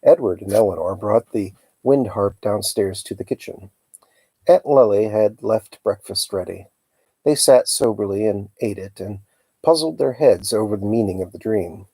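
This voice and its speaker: A man hosting a live storytime, reading a children's novel aloud in a calm, unhurried, hesitant manner. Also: lowish voice, slightly rough, dry recording.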